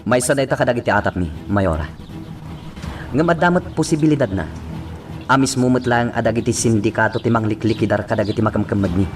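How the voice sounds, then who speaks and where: A man's voice: mid-range voice, brisk, distant mic, music in the background, close to an everyday voice. A man playing a stern, commanding police chief in an Ilocano radio drama. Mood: dutiful. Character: stern, determined male police chief leading a drug investigation.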